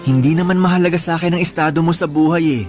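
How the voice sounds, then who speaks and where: A man's voice: a little high, fast, natural voice. A man playing a persistent, devoted young suitor in a radio romance drama, speaking earnestly and pleadingly. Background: background music.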